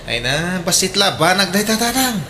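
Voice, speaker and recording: An actor with an Ilocano accent playing a dutiful, cheerful son-in-law in a comedic radio drama, eager and respectful. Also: male voice, high voice, very animated, a little fast, sharp tone, slight background noise, heavily acted. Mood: respectful, upbeat.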